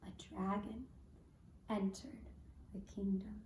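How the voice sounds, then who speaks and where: A woman telling a scripted fairy tale for a puppet show in a gentle, deliberate, singsong manner. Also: medium pitch, level tone. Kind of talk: scripted fairy-tale narration with lullaby singing. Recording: echoey room with faint noise.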